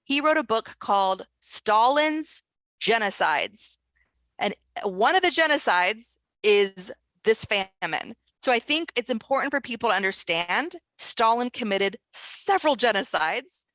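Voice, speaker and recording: A woman author and screenwriter speaking as a guest in an online discussion, talking in an earnest, urgent way with quick restarts. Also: on the high side, expressive, a bit bright, no room sound, poor audio. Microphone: video call.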